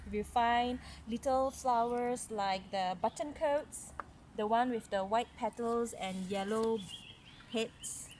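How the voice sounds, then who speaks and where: Higher-pitched woman reading aloud, noisy background. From Malaysia. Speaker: mother reading a story to a young child. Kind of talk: read-aloud storytelling with conversational asides. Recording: noisy recording with background sounds. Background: ambient noise, young child nearby.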